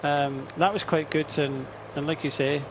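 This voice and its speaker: A man co-commentating a cycle race, relaxed and rambling, giving rider's-eye tactics. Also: on the high side, normal speed, noisy background. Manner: relaxed, self-deprecating, rambling. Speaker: cycling co-commentator. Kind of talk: live race commentary chat. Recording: commentary over loud race ambience.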